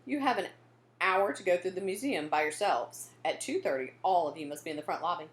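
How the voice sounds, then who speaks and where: A woman voicing a brisk female schoolteacher in a children's storybook, giving instructions to a class. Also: fairly low pitch, animated, echoey room, slight background noise, natural voice. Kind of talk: children's storybook character dialogue.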